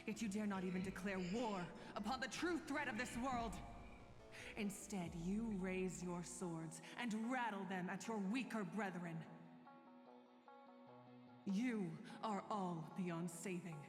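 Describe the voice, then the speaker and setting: A woman's voice: mid-range voice, measured pace, natural voice. An actress playing a proud, righteous, scornful young warrior woman in a video game, declaiming in formal speech. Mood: righteous anger. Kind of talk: scripted game drama. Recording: echoing hall reverb, music behind.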